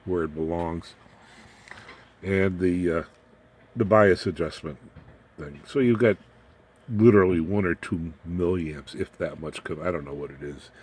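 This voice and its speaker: Very deep-voiced man giving folksy technical demo. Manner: folksy, rambling, plain-spoken. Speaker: electronics hobbyist presenter. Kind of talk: informal hands-on technical demonstration. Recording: clear background noise, room ambience.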